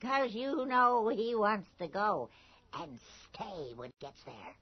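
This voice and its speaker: A woman playing a hard, knowing small-town landlady, terse, unhurried and taunting, in a radio drama. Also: mid-range voice, big pitch swings, a little hiss or hum. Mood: coldly knowing. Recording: muffled early 1980s radio broadcast, music bed.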